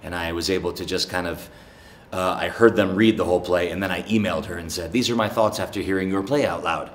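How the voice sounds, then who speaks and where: A man's voice: deep voice, steady tone, fast. A man talking informally in an interview as a writing mentor. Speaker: playwriting mentor.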